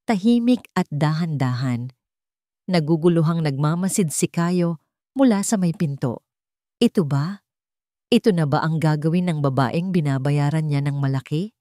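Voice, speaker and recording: Female storyteller for scripted romance drama: lower-register voice, dry studio-like sound. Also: expressive, measured pace, long pauses, mellow, no echo. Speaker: narrator.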